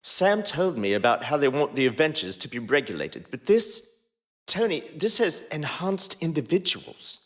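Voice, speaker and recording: A man's voice: very animated, normal speed. A man reading the dialogue of a fan fiction aloud, shifting his voice between characters. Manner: voices several characters by shifting pitch and pace. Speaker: podcast co-host reading fanfiction.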